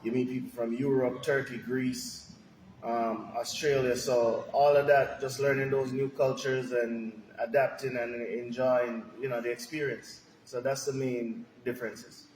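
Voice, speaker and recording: A man from Jamaica, answering a podcast interview as a restaurant owner, in a relaxed, rambling way with lots of "you know". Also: medium pitch, unhurried. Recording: echoey room with long reverb, faint noise.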